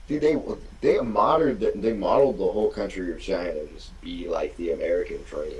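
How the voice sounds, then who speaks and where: A man's voice: sits low, a little fast, a little mellow, slightly rough, low-quality recording. A young man co-hosting a podcast, mocking and sarcastic, with plenty of swearing. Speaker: podcast co-host. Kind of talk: casual profane reaction chat.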